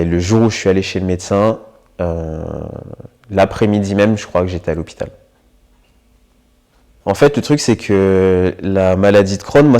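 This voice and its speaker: A young man in his twenties recounts his own experience in an interview. His voice sits slightly low with a warm, mellow tone, and he speaks reflectively, pausing often to find his words. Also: clean tone. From Paris region, France. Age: 20s. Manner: reflective, hesitant, frequent filler pauses. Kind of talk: personal testimony interview.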